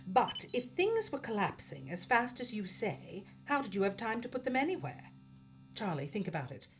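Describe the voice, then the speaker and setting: A woman's voice: a little high, very animated, moderate pace, highs cut off, faint music behind. A woman acting a hard-nosed, suspicious spaceship captain in a science fiction audio drama, stern, sarcastic and probing.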